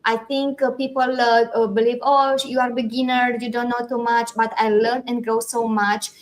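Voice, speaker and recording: A woman's voice: fairly high pitch, flat delivery, normal speed. Encouraging European woman hosting. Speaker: host. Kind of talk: casual interview.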